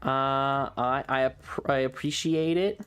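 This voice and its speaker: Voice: medium pitch, steady tone, takes it slowly, a bit bright, smooth. Rambling young adult streamer over music. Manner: rambling, hesitant, repeats phrases. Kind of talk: casual livestream chat.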